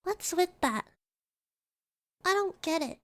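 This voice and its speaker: Very high-voiced, haughty girl. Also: slow, full character voice. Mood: cool, matter-of-fact. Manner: curt, dismissive, deadpan. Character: haughty, disdainful young yokai girl. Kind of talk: radio drama acting.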